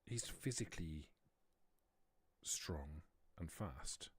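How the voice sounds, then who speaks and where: A man voicing a wary, calculating vampire informant in a tabletop game, hushed and deliberate, with measured pauses. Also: rumbling low, lively intonation, husky, no echo, exaggerated voice. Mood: grave warning.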